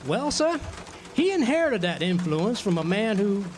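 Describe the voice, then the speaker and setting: Man playing pompous, swooping, high-voiced genial drunk. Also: big pitch swings, normal speed, slight background noise. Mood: wry, expounding. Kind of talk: radio drama acting.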